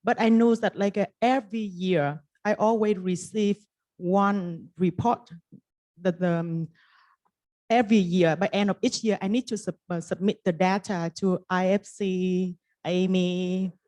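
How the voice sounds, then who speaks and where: A woman's voice: lowish voice, fairly even intonation, measured pace, warm tone, dry recording. A woman from Vietnam with a Vietnamese accent, a native Vietnamese speaker, telling her personal story as a panelist. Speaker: investment firm partner on a panel.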